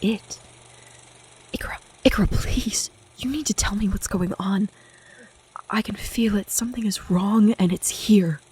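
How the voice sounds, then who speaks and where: Woman acting breathy, pleading young seer. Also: mid-range voice, unhurried, a little mellow. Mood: frightened whispering. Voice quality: breathy, often whispering. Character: frightened, pleading young seer, fiercely protective student. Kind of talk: intense audio drama dialogue.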